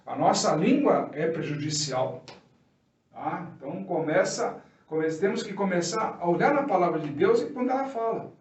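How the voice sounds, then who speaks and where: A Brazilian man delivers an informal religious teaching in a fairly high voice. He speaks colloquially and didactically, putting questions to his listeners and answering them himself. The recording is roomy and echoey, with the microphone some distance away. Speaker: preacher giving an opening teaching. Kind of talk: informal extemporaneous sermon.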